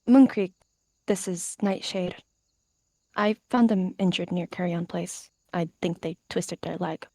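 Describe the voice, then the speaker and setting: A woman's voice: fairly low pitch, a little slow, mellow. A woman voicing a dutiful, slightly awkward young she-cat in a roleplay, polite and hesitant. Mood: salty, explaining.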